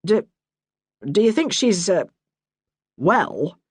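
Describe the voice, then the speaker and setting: A woman's voice: mid-range voice, big pitch swings, slow pace, breathy edge, no room sound, pitched above the performer's own voice, exaggerated voice. A woman voicing a good-natured young man, a would-be sailor, talking in a teasing, easygoing way. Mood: hesitant.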